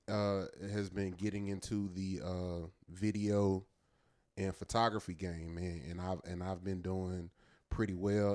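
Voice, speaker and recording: A man with a fairly low-register voice, co-hosting a podcast and telling a story in a loose, earnest way. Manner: loose and earnest, peppered with "man" and "you know what I'm saying". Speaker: podcast co-host. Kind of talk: casual storytelling chat.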